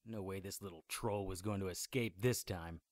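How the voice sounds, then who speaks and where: A man's voice: deep voice, normal speed, no echo, clean studio sound, natural voice. A man playing a defiant, wry occult hero in a radio drama, plainspoken in both narration and dialogue.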